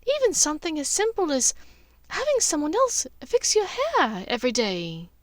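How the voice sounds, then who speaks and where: A woman voicing a well-bred lady, genteel, smooth and slightly waspish, guarded and polite, in a storybook reading. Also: sits high, huge pitch swings, normal speed, no echo, pitched above the performer's own voice. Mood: coaxing.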